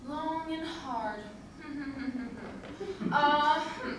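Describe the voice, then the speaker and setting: A woman's voice: sits high, drawn-out words, fairly bright tone, distant mic, exaggerated voice. A woman playing a shameless, saucy streetwalker in a stage comedy, flippant and full of innuendo. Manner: suggestive, flippant. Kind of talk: musical comedy stage acting. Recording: echoey hall recorded from afar, noisy.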